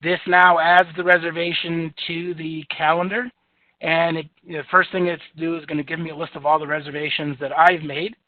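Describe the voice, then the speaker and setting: Guy demoing software, booming voice, kinda high, tinny phone-ish sound, dry. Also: level tone, moderate pace, no room sound. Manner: booming voice, easygoing with fillers. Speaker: software demonstrator. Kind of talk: software screen-share demo.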